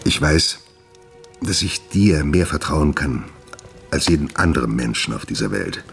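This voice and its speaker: An actor playing a troubled, secretive gentleman scientist in a German radio play, urbane, condescending and evasive. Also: extremely low pitch, expressive, measured pace, vocal fry, soft background music, exaggerated voice. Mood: earnest, subdued.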